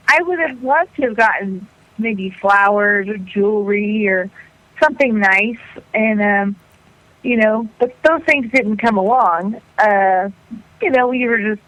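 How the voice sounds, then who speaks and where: A woman's voice: medium pitch, a bit bright, dry recording, low-quality recording. A woman in her forties from Colorado calling in to a radio show, blunt and outspoken. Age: 40s. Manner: blunt, outspoken, with frequent ums. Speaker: caller. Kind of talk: call-in radio chat.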